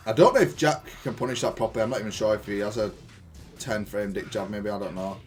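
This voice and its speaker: A man's voice: fairly low pitch, fast, faint music behind. A man giving casual gameplay analysis of his own match in an informal, matter-of-fact, self-critical way. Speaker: gaming content creator reviewing own match.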